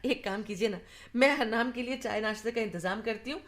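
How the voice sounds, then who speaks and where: A woman's voice: fairly high pitch, brisk, fairly bright tone, lightly acted. A woman acting in a radio play as a cheerful grown woman fondly recounting her childhood family tales. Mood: amused storytelling. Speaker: radio drama actor. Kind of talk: conversational radio play storytelling.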